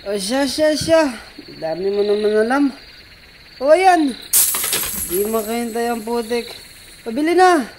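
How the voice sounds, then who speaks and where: A boorish, impatient store customer, played by a man, demands service in a homemade radio drama. The delivery is slow. Faint night noise with crickets sits behind. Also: very high-pitched, animated, a little mellow. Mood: impatient, rude. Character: rude impatient beer-buying customer, boorish. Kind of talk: amateur radio drama acting.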